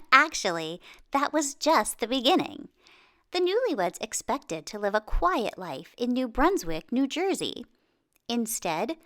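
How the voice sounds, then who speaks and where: Expressive, high-pitched woman reading a storybook. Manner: expressive, dramatic pauses and exclamations. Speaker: picture book read-aloud reader. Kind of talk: children's storybook narration.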